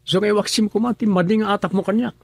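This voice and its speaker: An actor playing a young Ilocano husband in a radio drama, coaxing and evasive. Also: male voice, sits high, fairly even intonation, fast, creaky, dry recording, clean studio sound.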